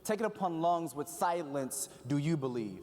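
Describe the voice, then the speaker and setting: This is a man in his early twenties with a slightly raised voice, reciting poetry with a measured, rhythmic delivery. He performs on stage in a big hall, and long reverb trails behind him. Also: on the high side, expressive, a little mellow. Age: 20s. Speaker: youth spoken word poet. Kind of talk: spoken word performance. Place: large event hall, live audience.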